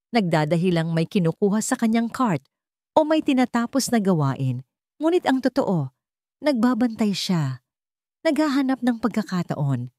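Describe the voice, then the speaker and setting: A woman's voice: medium pitch, a little mellow, dry recording, clean studio sound. A woman reading scripted dramatic narration of a romance story.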